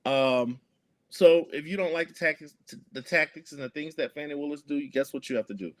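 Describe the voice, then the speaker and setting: A man's voice: fairly high pitch, fairly quick. A man, speaking earnestly as an activist guest in an interview, with many fillers and restarts. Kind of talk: conversational interview.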